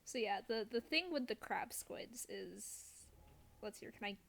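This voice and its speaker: A woman's voice: medium pitch, a little slow, gravelly, fairly bright tone. A woman hosting a game stream, chatting casually about play in a playful, self-deprecating way. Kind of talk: casual live gameplay chat.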